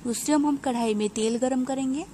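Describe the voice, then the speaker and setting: A woman narrating a home cooking recipe step by step in an unhurried, explanatory way, pausing with small hesitations. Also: sits high, warm tone, slight background noise. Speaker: home cook.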